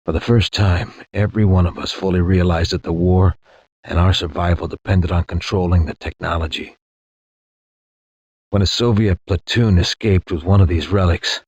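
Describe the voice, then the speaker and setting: A man playing a war-weary space soldier, narrating a past campaign in a grave, measured, reflective way with a husky voice. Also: steady tone, darkish tone, no echo. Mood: somber, reflective. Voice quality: deep, husky. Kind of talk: dramatic scripted war-memoir narration. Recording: dull, lo-fi game audio.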